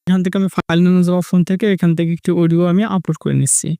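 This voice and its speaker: A man with a high voice walks viewers through a tutorial step by step. His delivery is fast and repetitive in its instructions, and the recording is dry and close. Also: level tone, dark tone, clear, resonant tone, no echo. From Bangladesh. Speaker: tech tutorial host. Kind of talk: step-by-step screen tutorial narration.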